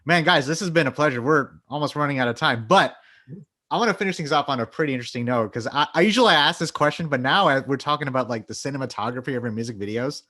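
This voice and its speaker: Fast-talking man hosting an interview. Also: medium pitch, sharp tone. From Bangladesh. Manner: friendly, laughs easily, loose fillers. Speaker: interview host. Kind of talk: casual interview chat.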